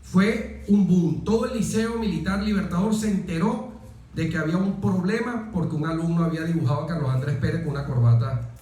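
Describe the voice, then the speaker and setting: A man's voice: a little high, a little fast, warm tone. A middle-aged Venezuelan man telling stories conversationally as an interview guest, repeating words for emphasis and checking in with short tag questions. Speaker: interview guest, former military aide. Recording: echoey room, distant from the mic.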